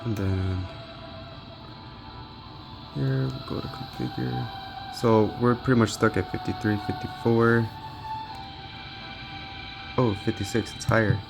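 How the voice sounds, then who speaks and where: Low, creaky-voiced man, casual tech commentary. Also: steady tone, takes it slowly, pauses often, over music. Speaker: gaming handheld reviewer.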